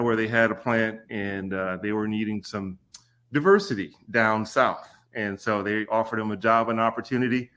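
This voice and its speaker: An easygoing man in his forties who talks through a story at his own pace. He fills his pauses with small words and laughs readily. It sounds like an unhurried podcast conversation. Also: on the low side, fairly bright tone. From Kentucky. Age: 40s. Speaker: podcast guest.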